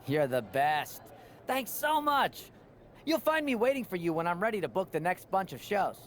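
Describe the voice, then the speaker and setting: High, eager event booker. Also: male voice, very animated, normal speed, fairly bright tone, a little hiss or hum. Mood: eager, self-important. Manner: chirpy, salesman-like. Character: eager, self-important, wheedling male event booker. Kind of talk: game cut-scene dialogue.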